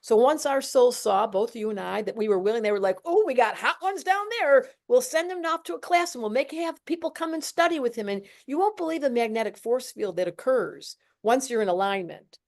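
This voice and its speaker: A woman hosting a podcast, talking in a playful, animated way and acting out little voices as she tells her stories. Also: on the high side, fairly quick, hardly any pauses. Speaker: podcast host, astrologer. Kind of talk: casual podcast conversation.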